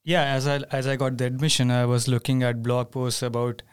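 A man speaks as a podcast guest in a smooth, resonant voice. His delivery stays level with little rise and fall, and he hesitates often on "uh". The sound is dry and close. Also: medium pitch, flat delivery, fairly quick, no echo. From India. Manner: hesitant, many "uh" fillers. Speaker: guest, master's student. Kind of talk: casual interview chat.

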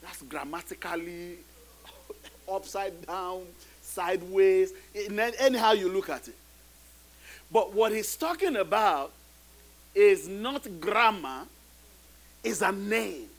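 Man, middle-aged, pastor, non-native english speaker, high pitch, sermon, emphatic repetition. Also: slow, pauses often, rough recording. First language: not English. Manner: preaching with rising emphatic repetitions.

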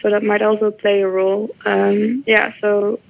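Young Dutch woman, flat voice on a tinny line. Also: medium pitch, moderate pace, gravelly, no room sound. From Netherlands. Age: young adult. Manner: hesitant, many fillers. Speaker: doctoral researcher. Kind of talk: interview answers.

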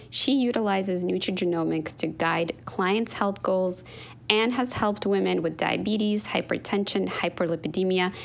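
A woman hosting a podcast, reading a measured introduction of her guest and then chatting with her. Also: lowish voice. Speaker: podcast host. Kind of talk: scripted intro then casual interview.

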